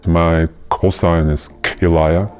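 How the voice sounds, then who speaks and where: Very deep, halting soldier. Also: male voice, little pitch movement, a little mellow, soft background music. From Ukraine, raised in Canada. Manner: halting, hesitant, many fillers. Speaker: soldier interviewee. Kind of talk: interview testimony.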